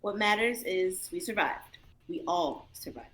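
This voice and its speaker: A woman's voice: medium pitch, a little slow, a bit bright, slight background noise, lightly acted. A young woman playing an outspoken, protective big sister in an amateur livestreamed drama reading. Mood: firm, reassuring. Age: young adult.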